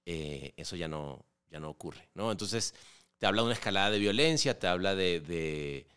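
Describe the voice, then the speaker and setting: A man in his forties from Venezuela with a Venezuelan Spanish accent, a guest chatting in an interview, relaxed, with many fillers and restarts. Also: low voice, normal speed, smooth. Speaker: podcast guest, filmmaker. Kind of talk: casual interview conversation.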